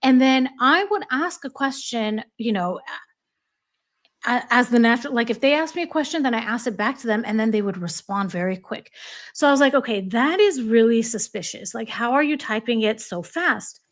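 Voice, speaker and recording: An American woman hosts a relaxed commentary chat. She tells stories in quick bursts, and her voice sits slightly high. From United States. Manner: chatty, quick storytelling with frequent laughs. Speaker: host.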